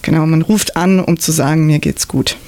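A guest from southern Germany in a casual, reflective radio discussion. The mid-pitched voice has plenty of vocal fry and is recorded dry in a studio. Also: normal speed, darkish tone, no echo. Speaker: interview guest.

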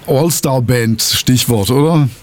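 A man hosting a local radio show, chatting casually and adding relaxed asides about the bands. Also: mid-range voice, slow. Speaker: radio host.

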